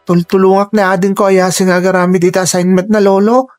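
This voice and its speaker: A performer acting a caring young older brother in a radio drama, gentle and respectful. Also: medium pitch, level tone, a little fast, soft background music, lightly acted. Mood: respectful, explaining.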